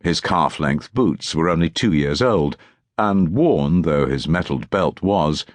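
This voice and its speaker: Very deep-voiced male audiobook narrator. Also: lively intonation, a little slow, no echo, studio quality. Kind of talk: scripted narration of historical fiction.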